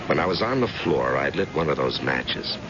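A man's voice: a bass voice, lively intonation, moderate pace. A man acting in an old-time radio drama as a grim, loyal private eye, earnest and urgent, with hard-boiled colloquial talk. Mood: tired, concerned. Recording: muffled, noisy old radio recording.

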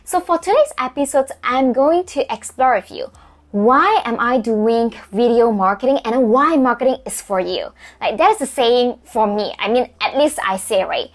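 A woman's voice: on the high side, expressive, moderate pace. A woman in her thirties, a native Mandarin speaker from Malaysia, chatting casually in a marketing vlog with many restarts and tag questions. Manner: chatty, frequent "right?" and restarts. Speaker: vlogger.

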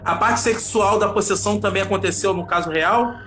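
A man's voice: sits high, fairly quick, sharp tone, soft background music. A man co-hosting a casual live chat, joking around and talking in a playful, slangy way.

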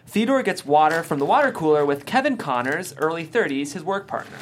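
A narrator reads out screenplay action and scene headings. The pitch sits in a middle range and moves up and down a lot as each scripted direction is delivered. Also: big pitch swings, brisk, slight background noise.

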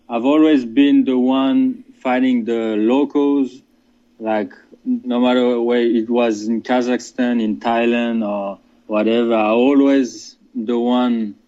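A man from France, a fighter answering interview questions in halting, casual speech full of restarts and fillers. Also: mid-range voice, dark tone, highs cut off. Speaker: MMA fighter.

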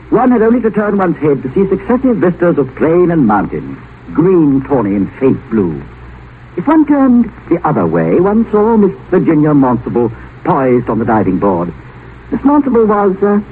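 Casting: bumbling, prim scholar, high and very lively intonation, formal phrasing; 1950 radio drama. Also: male voice. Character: timid, polite, bumbling bald scholar, also narrating. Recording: noisy, tinny old radio broadcast.

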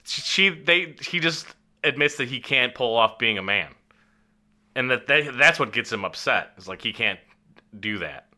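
A man's voice: mid-range voice, lively intonation, measured pace, sharp tone. A man co-hosting a podcast, chatting casually about films in a jokey, slangy way and chiming in often. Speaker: podcast co-host. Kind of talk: casual comedic film chat.

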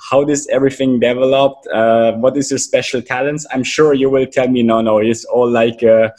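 A man's voice: medium pitch, fairly even intonation, normal speed, darkish tone. A man hosting an interview, asking long, rambling questions full of fillers. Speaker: interviewer, host.

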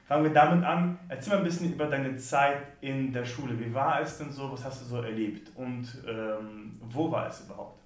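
A man hosting a podcast, reading the intro and then asking his guest relaxed questions. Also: mid-range voice, moderate pace, hardly any pauses. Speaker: podcast host. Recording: echoey room, distant from mic, faint music.

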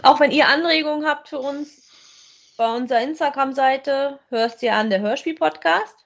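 A woman's voice: sits high, normal speed. A woman chatting casually as co-host of a podcast that reviews audio dramas.

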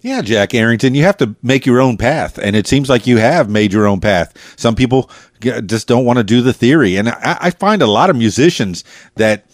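A man's voice: mid-range voice, lively intonation, normal speed, dry recording, clean studio sound. An American man hosting a podcast, chatting casually in a rambling, jokey way with his guest. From United States. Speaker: podcast host. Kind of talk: casual interview chat.

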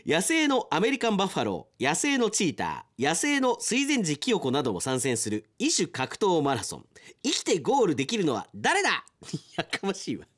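A man's voice: high-pitched, normal speed. A man hosting a radio aftertalk, reading listener mail in casual chat and reacting with amused asides. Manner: animated, reacts with amused asides to what is read.

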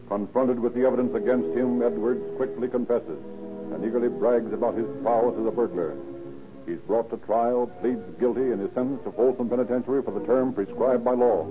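A man with an American accent delivering formal, declamatory scripted narration as a 1930s radio announcer. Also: lowish voice. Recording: muffled, faintly noisy 1930s radio recording.

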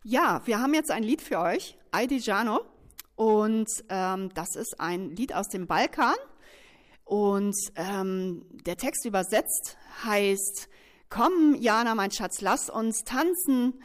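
A woman's voice: medium pitch, lively intonation, slow pace. A woman who belongs to a women's music group, speaking in a friendly, inviting way. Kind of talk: casual invitation.

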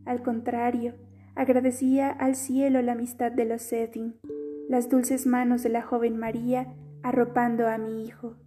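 A woman reading a literary letter aloud in a soft, slow, wistful way. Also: a little high, flat delivery, over music. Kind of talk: scripted audiobook reading.

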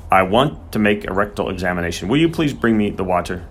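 A man's voice: sits low, animated, fast, fairly bright tone, husky, heavily acted. A man voicing an earnest country vet in an audiobook, polite and firm but uneasy.

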